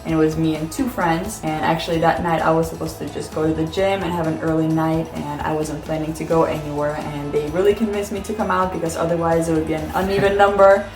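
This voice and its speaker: A woman in her thirties from Belarus, Russian-native, chatting at length in a home vlog. Her voice sits low, and the living room has an echo with background music running under her words. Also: flat delivery, fast talker, few pauses. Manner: chatty, laughs often, explains at length. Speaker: vlog co-host. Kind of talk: casual storytelling to camera.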